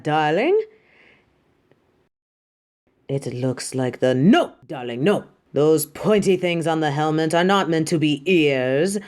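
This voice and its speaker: A woman's voice: deep voice, darkish tone. Woman playing a haughty, flamboyant superhero costume designer, swooping delivery. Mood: indignant. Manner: haughty, dramatic, doting, calls the listener darling. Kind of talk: theatrical roleplay monologue to the listener.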